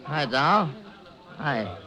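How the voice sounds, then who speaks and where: An actor playing a little, needling Broadway gossip columnist in a 1940s-style radio drama. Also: male voice, fairly high pitch, animated, very slow, a crowd in the background.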